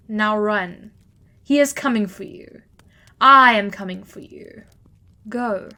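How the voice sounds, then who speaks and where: A regal female deity of vengeance for an audio drama. She is imperious and wrathful, speaking in grand, formal declarations at a natural middle pitch. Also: sharp tone. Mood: commanding.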